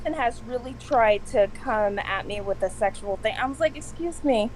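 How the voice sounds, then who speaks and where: A woman with a soft voice chatting casually on a video call, laughing often as she tells stories. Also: on the high side, normal speed, a little hiss or hum, low-quality recording. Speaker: stream host. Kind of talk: casual group chat.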